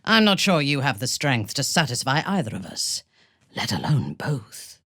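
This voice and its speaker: Husky, imperious dark elf warrior. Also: female voice, a bass voice, sing-song, dry recording, clean studio sound, exaggerated voice. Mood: cool, haughty. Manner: slow, deliberate, haughty, menacing. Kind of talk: video game voice acting.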